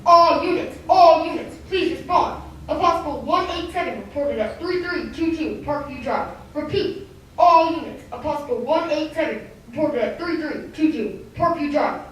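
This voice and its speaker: A woman's voice: sits high, moderate pace, natural voice. A woman playing an urgent, official police radio dispatcher in a radio drama. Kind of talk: old-time radio drama acting. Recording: very echoey hall, distant mic.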